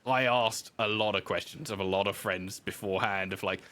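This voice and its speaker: A man's voice: lowish voice, steady tone, a little fast, a bit bright. A man telling his story in casual interview chat, informal and self-deprecating, as a podcast guest who designs games.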